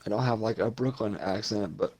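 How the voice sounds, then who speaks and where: Gravelly-voiced young man rambling casually. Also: lowish voice, fairly quick, a little mellow, rough recording. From Ohio, USA. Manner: rambling, casual, swears freely. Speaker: podcast co-host. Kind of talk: casual chat.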